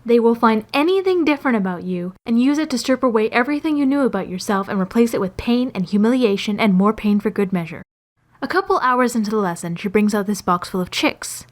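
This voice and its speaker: A woman's voice: fairly high pitch, lively intonation, fairly quick. A woman narrating scripted comedic stories in a wry, sarcastic, exaggerating way. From Canada. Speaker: storyteller and animator.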